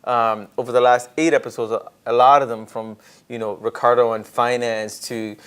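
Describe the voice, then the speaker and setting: A man's voice: medium pitch, little pitch movement, normal speed, fairly bright tone, smooth. Guy hosting a podcast, chatty and slangy, opens up about himself, regular mid voice. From Chennai, India, later Canada. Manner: informal, confessional, slangy. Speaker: podcast host. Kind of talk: casual podcast conversation.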